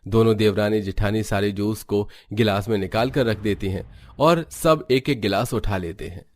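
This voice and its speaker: A man's voice: fairly low pitch, moderate pace, slight background noise. A man reading scripted narration for a family story.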